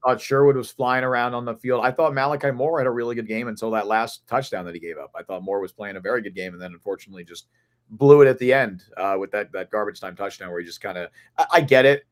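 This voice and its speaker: A man with a hoarse, raspy voice from losing it, co-hosting a sports podcast and thinking aloud in long, earnest run-on stretches. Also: on the low side, fast. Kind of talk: casual sports analysis chat.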